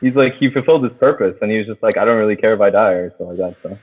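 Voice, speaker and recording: A man hosting a casual film chat, talking in slangy, profane language and steering the discussion like an excited fan. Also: on the low side, rapid-fire, husky. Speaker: podcast host. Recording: tinny phone-like sound.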